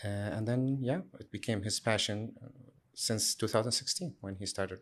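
Relaxed man, low voice, many fillers. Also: normal speed. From Syria. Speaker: guest, sustainability lead. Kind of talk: casual interview answers.

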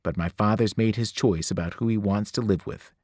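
A man voicing a guarded, blunt teenage girl who speaks coolly and quietly. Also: fairly low pitch, fairly quick, no echo, clean studio sound, close to an everyday voice. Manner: cool, clipped, quiet.

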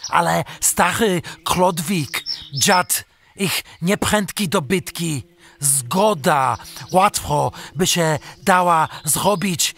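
High-pitched, pleading frightened captive. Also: male voice, fairly bright tone, a little hiss or hum. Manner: pleading, halting, servile. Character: frightened red-haired trader captive, pleading for mercy. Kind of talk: illustrated radio drama acting.

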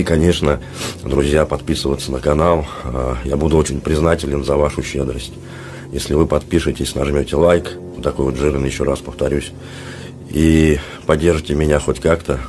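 A man with an extremely low, flat voice talks casually to his vlog viewers. He is sick with a sore throat and his voice is worn out, so he speaks in halting phrases. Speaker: vlogger. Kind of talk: casual vlog talk to camera. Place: small dormitory room, indoors. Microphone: handheld phone at arm's length.

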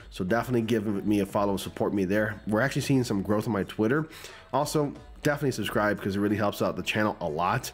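A man in his forties with a stuffy, cold-clogged voice, casually commenting on movie news and reading an article aloud, close to a studio mic in a small room at home. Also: on the low side. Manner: conversational, reads news text aloud with asides. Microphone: large studio mic close in front.

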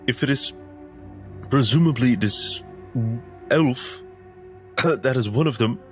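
Slow, halting man roleplaying in character. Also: mid-range voice, soft background music. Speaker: tabletop game player.

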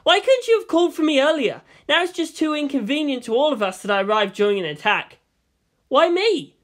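A woman's voice: high voice, sing-song, brisk, voice pushed up. A woman acts out a teenage boy in a dramatic audiobook. He is agitated and full of protest. He questions everything with hurt suspicion. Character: distressed, argumentative, suspicious teenage boy, reluctant pilot. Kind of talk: dramatic audiobook character reading.

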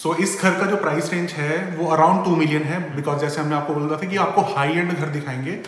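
A man's voice: a little high, steady tone, a little fast. A man, around his thirties, hosting a casual vlog and chatting in mixed Hindi and English. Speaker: vlog host. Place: large house interior, indoors. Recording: echoey rooms with long reverb.

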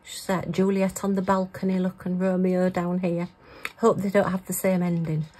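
A middle-aged woman talking casually to herself while crafting, her voice going hoarse. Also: lowish voice, flat delivery, normal speed, dark tone. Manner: chatty, talks to herself. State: losing her voice. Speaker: craft vlogger.

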